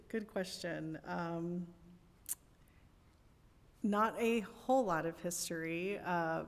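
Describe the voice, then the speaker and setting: A woman applying for a volunteer board, answering interview questions hesitantly with many ums and restarts. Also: on the low side. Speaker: board applicant. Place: city council chamber. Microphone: podium mic. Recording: echoey council chamber.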